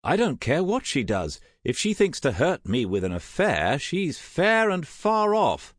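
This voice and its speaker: An indignant, well-bred young gentleman, performed by a male narrator for an audiobook. His speech is formal and clipped, with animated pitch in a close, dry recording. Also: measured pace, dry recording. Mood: defiant. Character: proud, indignant aristocratic young gentleman, courteous to his mother. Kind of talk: audiobook character acting.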